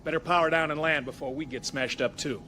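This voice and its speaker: An actor playing a cocky, roguish smuggler pilot, brash and wisecracking, in a space-opera audio drama. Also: male voice, on the high side, steady tone, fast talker, bright, forceful tone, a little hiss or hum. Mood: cocky, easygoing.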